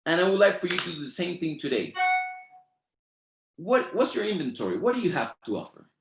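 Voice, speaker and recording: A man's voice: fairly high pitch, big pitch swings, fairly quick, roomy sound, a little hiss or hum. A man whose first language is Spanish, speaking with a Spanish accent and giving a motivational training talk in an emphatic, repetitive, preacher-like rhythm. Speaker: network marketing trainer.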